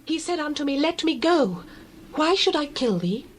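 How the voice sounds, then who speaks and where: A woman's voice: high-pitched, very animated, normal speed, slight background noise. An actress playing an anxious young princess protecting her husband in a dramatized Bible reading. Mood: defensive.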